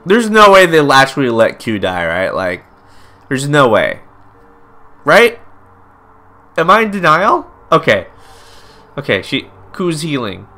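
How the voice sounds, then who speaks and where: Male gaming YouTuber: slow, bright delivery, emotional casual reactions. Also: a little high, wildly swooping pitch. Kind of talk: casual let's play commentary.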